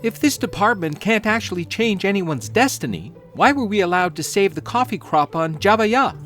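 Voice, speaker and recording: A man's voice: high-pitched, very animated, moderate pace, a bit bright, faint music behind. A man playing a skeptical, earnest space detective in a comedic audio drama.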